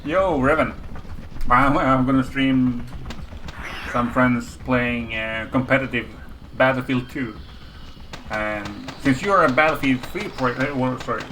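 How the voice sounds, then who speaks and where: A man's voice: mid-range voice, slight background noise. A man with a Swedish accent, casually chatting with his viewers on a live game stream, with frequent restarts. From Sweden. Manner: relaxed, repeats words and restarts, talks to chat.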